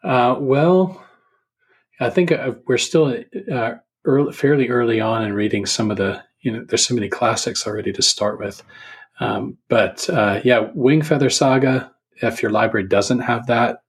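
A man speaking as a guest in a casual podcast chat about books, thinking aloud in a halting, thoughtful way. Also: mid-range voice, breathy edge.

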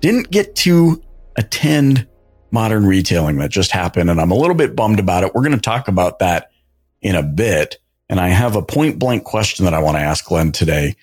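A man hosting a podcast, upbeat and chatty, with lively jumps in pitch as he introduces and questions his guest. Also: moderate pace, husky, soft background music. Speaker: podcast host. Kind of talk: casual interview chat.

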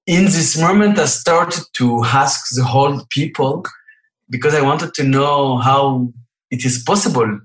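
A middle-aged man, speaking halting as an interview guest, often filling pauses with "you know" and "uh". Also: mid-range voice, a bit bright, echoey room, poor audio. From Morocco. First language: French. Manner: halting non-native English, frequent "you know" and "uh". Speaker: guest film director. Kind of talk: interview answers.

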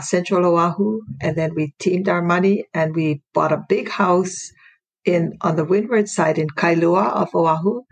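An older woman from Hawaii, in her sixties or beyond, telling a personal story unscripted as an interview guest, her voice gravelly and husky. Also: on the low side, a little mellow, rough recording. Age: 60s or older. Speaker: interview guest, retired educator.

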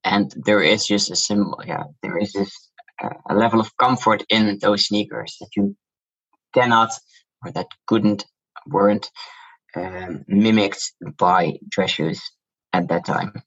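A low-voiced man with a Dutch accent explains his business in a calm, measured interview manner. His voice is husky. The recording sounds dull and lo-fi. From Netherlands. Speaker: shoe brand founder, guest. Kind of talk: interview answers.

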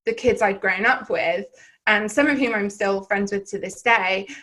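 A woman's voice: medium pitch, moderate pace, fairly bright tone. A woman from Wales with a Southern English accent, telling personal stories as a podcast guest in a reflective, rambling way with frequent fillers and restarts. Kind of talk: candid personal storytelling in conversation.

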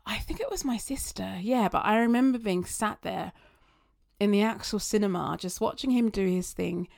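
A woman talking through her thoughts in a chatty, rambling monologue that wanders onto tangents, her voice getting slightly raspy. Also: medium pitch, animated, moderate pace, a little mellow, dry recording. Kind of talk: casual reflective monologue.

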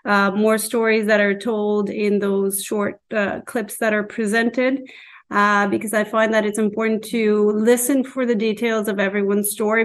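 A middle-aged woman shares her thoughts in a discussion. Her mid-pitched voice stays very even, and she speaks in a measured, halting, reflective manner.

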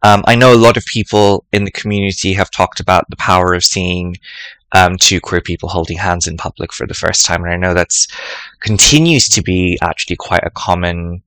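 A man presenting a reflective spoken essay for an academic symposium podcast, thoughtful and measured, often pausing to choose his words. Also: low-pitched, fairly even intonation, fairly bright tone, clean tone, no echo, rough recording.

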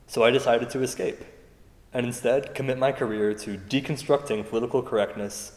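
An actor playing an earnest comedian turned activist in a radio play, speaking in a measured way in a reverberant space. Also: male voice, medium pitch, fairly even intonation, a little mellow, a little hiss or hum, close to an everyday voice. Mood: earnest, aggrieved. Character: earnest, aggrieved male comedian turned free speech activist. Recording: long hall reverb.

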